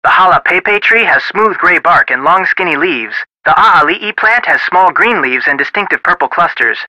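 An actor playing a friendly young American amateur detective in a video game, casual, folksy and chatty. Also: male voice, high-pitched, expressive, normal speed, bright, forceful tone, no echo, exaggerated voice, low-quality recording. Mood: breezy.

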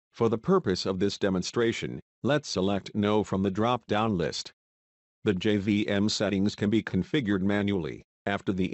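A man with a fairly low voice narrates a software tutorial. His delivery is scripted, measured and instructional, moving step by step. The sound is close and dry, like a studio voice-over. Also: no echo.

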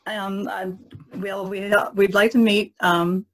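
A woman co-hosts a podcast with a medium-pitched voice. She makes introductions in an informal, conversational way. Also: little pitch movement, slow pace, a bit bright. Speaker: podcast co-host, painter.

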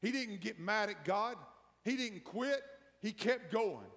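A middle-aged man preaching as pastor, building emphasis through a slow sermon before a hushed prayer in a large church. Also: high voice, animated. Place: large church sanctuary, empty pews. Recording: long church hall reverb.

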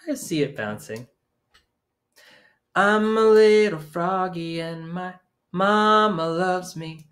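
A man in his thirties leading young children in songs with hand signs, gentle and encouraging, recorded on a webcam in a small room at home. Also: high-pitched. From Maine, US. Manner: gentle, encouraging, slow sing-along. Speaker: children's music teacher. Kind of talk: singing children's songs with sign language. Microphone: webcam at desk distance.

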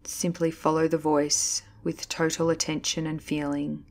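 Female meditation instructor, low register, level intonation, calm scripted delivery with deliberate pauses. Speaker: yoga nidra instructor. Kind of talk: guided meditation, scripted narration.

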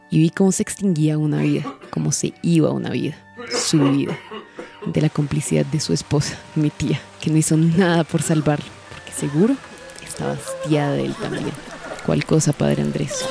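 A woman's voice: deep voice, steady tone, brisk, vocal fry, music in the background. A woman playing a cold, calculating, vengeful businesswoman confessing in an audio drama. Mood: cold confession. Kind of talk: scripted dramatic monologue.

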